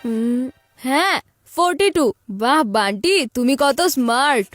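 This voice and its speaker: A woman's voice: high voice, animated, takes it slowly, soft background music. A woman voicing a cheeky, scheming young child in a children's cartoon, with an exaggerated, teasing delivery. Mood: self-satisfied.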